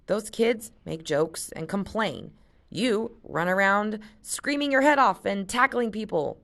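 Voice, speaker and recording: A woman voicing a timid, gentle boy in a read-aloud story, speaking softly. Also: medium pitch, animated, a little slow, gravelly, voice pushed up. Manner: timid, soft-spoken.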